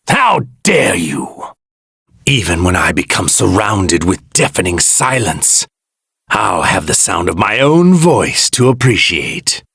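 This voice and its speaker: A man voicing an arrogant evil god in a stolen warrior's body, speaking formal, grandiose video game lines in a husky, gravelly voice. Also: medium pitch, a bit bright, dry recording, studio quality, exaggerated voice. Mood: haughty contempt, then self-admiring awe. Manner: pompous, grandiose, formal, archaic phrasing.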